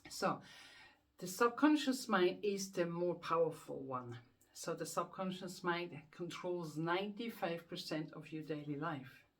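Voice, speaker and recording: A woman's voice: lowish voice, lively intonation, measured pace. Earnest Swiss-accented woman explaining. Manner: earnest, repeats words while thinking, rhetorical questions. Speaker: hypnotherapist. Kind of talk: self-help explainer to camera.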